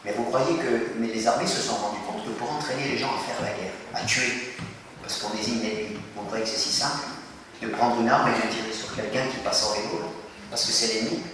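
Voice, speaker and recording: A man giving an animated talk to a school audience, questioning them and acting out little scenes. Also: lowish voice, brisk. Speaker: speaker addressing students. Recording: echoey hall from far off, noisy.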